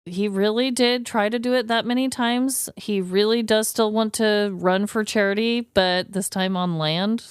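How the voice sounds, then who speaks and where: A woman's voice: mid-range voice, fairly even intonation, unhurried, hardly any pauses, clear, resonant tone, dry recording, studio quality. A woman co-hosting a podcast, telling a story in casual, slangy conversation and often acting out what people said. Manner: informal, slangy, acts out imagined dialogue. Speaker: podcast co-host telling a true crime story.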